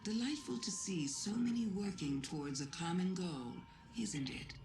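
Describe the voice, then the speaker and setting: A woman playing a sly ancient alien witch-queen in a video game, smug and silkily taunting, with deliberate, insinuating delivery. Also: on the low side, lively intonation, a little mellow. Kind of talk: theatrical scripted game monologue. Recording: echoing voice under clear music.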